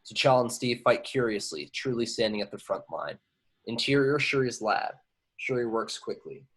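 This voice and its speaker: A man narrates screenplay action in a middle-pitched voice. He reads it plainly, as part of an amateur table read. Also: normal speed, breathy edge. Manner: stumbles on invented place names. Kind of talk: reading screenplay action lines.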